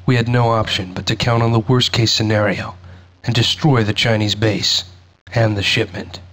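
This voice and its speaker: An actor playing a grave soldier who recounts a past war in measured game cutscene narration. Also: male voice, fairly low pitch, steady tone, a bit bright, slight background noise, natural voice, low-quality recording. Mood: grave, reflective.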